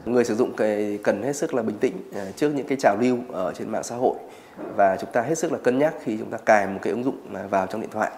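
Quick, low-voiced man explaining. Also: darkish tone, a little hiss or hum. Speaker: cybersecurity expert interviewee. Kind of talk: interview explanation.

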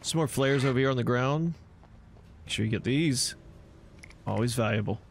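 A man's voice: medium pitch, normal speed, a little hiss or hum. A man giving casual, rambling walkthrough commentary on a video game, talking to himself and to viewers. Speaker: gameplay host.

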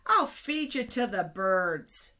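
A boastful giant warrior from a children's storybook, acted out by a woman reader. The taunts are loud, slow and menacing, with lively swings in pitch. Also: exaggerated voice. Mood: taunting, angry. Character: angry, boastful giant soldier taunting his challenger.

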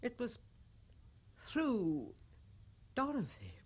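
Grave, imperious old matriarch, swooping intonation. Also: female voice, a little high, huge pitch swings, darkish tone, breathy edge, exaggerated voice. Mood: grave, foreboding. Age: older. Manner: grave, imperious, measured theatrical delivery. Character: stern, devout old grandmother guarding a family curse. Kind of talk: 1970s radio drama acting.

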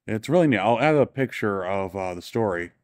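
Man, low smooth voice, casual walking tour host in an exhibition hall, dry close sound, eager and offhand. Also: animated, a little fast. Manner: enthusiastic, offhand, self-correcting. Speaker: show tour guide and author. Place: large indoor exhibition hall.

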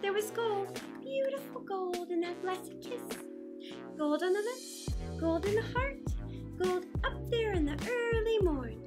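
A woman voicing a gentle, sunny storybook buttercup, tender and unhurried. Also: very high voice, lively intonation, music in the background. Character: bright, gentle buttercup flower telling sunny tale.

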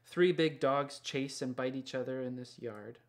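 Gentle man reading a picture book. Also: medium pitch, measured pace, a little hiss or hum. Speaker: children's picture book author and illustrator. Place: small indoor studio lined with books and records.

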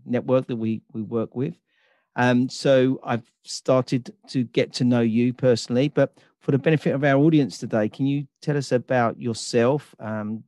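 A man's voice: mid-range voice, no echo, lo-fi sound. A middle-aged man hosting a podcast, reading an introduction and then chatting casually with a guest. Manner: reads sponsor list, relaxed questions with fillers. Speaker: podcast host.

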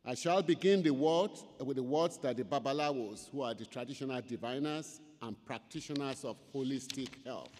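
Older man, Yoruba accent, slow solemn invocation, lectern mic, long hall reverb. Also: medium pitch, a little mellow. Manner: solemn, measured, chanting in places. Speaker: invocation speaker. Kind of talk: ceremonial invocation and prayer. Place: large auditorium stage at a lectern. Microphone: lectern microphone.

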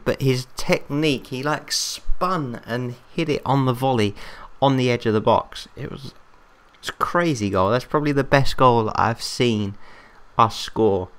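An English man commentating on a football management game in casual chat, excitable and rambling, his voice getting worn out. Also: medium pitch, smooth. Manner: excitable, rambling, talks to himself. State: losing his voice, coughing.

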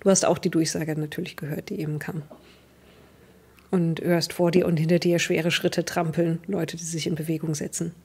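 A woman's voice: deep voice, little pitch movement, normal speed, creaky, mellow, slightly rough. A young woman improvising the narration of a tabletop roleplaying game as game master. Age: young adult.

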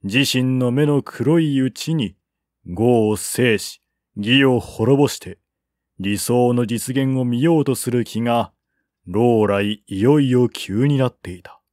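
Male narrator for literary historical fiction: unhurried, formal, mid-pitched, close dry recording. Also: a little mellow, dry recording. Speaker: audiobook narrator.

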